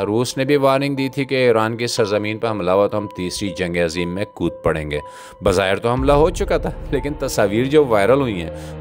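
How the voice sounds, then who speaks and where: Guy with a lowish lively voice doing sardonic political commentary, music playing behind. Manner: sardonic, literary phrasing. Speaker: commentator, host. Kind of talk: scripted satirical political commentary.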